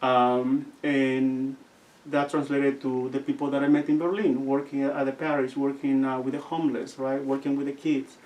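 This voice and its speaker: A young man with a Guatemalan accent, telling stories as an interview guest and often pausing to search for his words. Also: mid-range voice, little pitch movement, a little mellow. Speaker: seminarian guest. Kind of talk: casual interview storytelling. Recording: distant from the mic.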